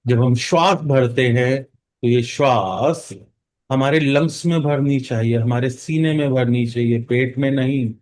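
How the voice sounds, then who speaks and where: An Indian man leading a guided meditation as instructor, speaking calmly in short phrases with long pauses between them. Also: medium pitch. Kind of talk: guided meditation, mixing Hindi and English.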